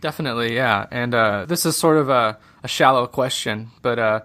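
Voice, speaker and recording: A man's voice: medium pitch, moderate pace, pushed and bright. An American man hosting a podcast, introducing and casually interviewing a guest.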